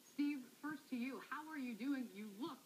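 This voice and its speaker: A woman's voice: high-pitched, normal speed, music in the background, rough recording. A woman news anchor presenting a story and interviewing guests live, with an upbeat, emphatic delivery.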